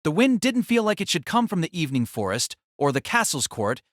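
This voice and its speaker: Dramatic, animated male story narrator. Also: a little high, brisk, dry recording, studio quality.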